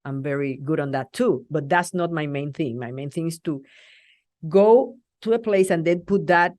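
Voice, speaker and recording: A woman from Rico speaks English, in a low, dry voice. She is a middle-aged TV journalist, lively and halting as she restarts her sentences in a casual interview. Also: dry recording. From Puerto Rico. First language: Spanish. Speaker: TV journalist guest.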